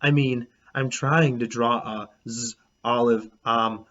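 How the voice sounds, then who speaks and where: A man's voice: mid-range voice, fairly even intonation, a bit bright, lo-fi sound. A man voicing an easily bothered young schoolboy in a children's storybook. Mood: halting, eager. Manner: complaining, then halting and fumbling for words. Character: grumpy third-grade boy, busy drawing, easily smitten.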